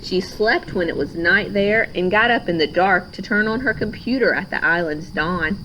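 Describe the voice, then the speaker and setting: A woman reading a children's storybook aloud in a measured, expressive way. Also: fairly low pitch, slight background noise, poor audio. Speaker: storybook reader. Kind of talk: scripted children's story narration, read aloud.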